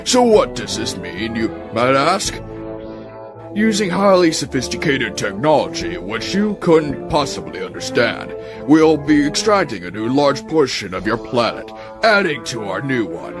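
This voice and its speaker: A man's voice: mid-range voice, sing-song, measured pace, over music. A man voicing a pompous, scheming alien tycoon villain with an oratorical, condescending delivery. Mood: smug, grandiose.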